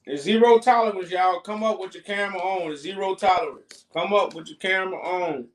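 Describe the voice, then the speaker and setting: A man with a high-pitched voice hosts a live debate stream with callers. He talks informally and repeats his phrases for emphasis. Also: fairly quick.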